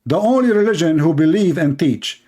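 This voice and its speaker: A man's voice: fairly high pitch, animated, moderate pace, warm tone. A man with an Arabic accent, a native Arabic speaker, giving heated, sarcastic commentary as host of a debate stream. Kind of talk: polemical commentary.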